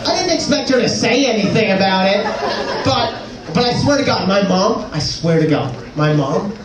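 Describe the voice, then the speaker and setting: A man's voice: high-pitched, lively intonation, normal speed. A teenage boy performing conversational stand-up comedy with casual, slangy, exclamatory delivery. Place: indoor comedy club stage. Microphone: handheld stage microphone, picked up from afar. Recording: echoey live club, distant, noisy crowd. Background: loud audience noise.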